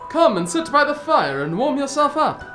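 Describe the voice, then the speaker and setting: A woman playing a genial, easily flustered older gentleman storyteller in a radio drama, with a proper, old-fashioned way of talking. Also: high-pitched, sing-song, normal speed, faint music behind. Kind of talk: radio drama narration and dialogue.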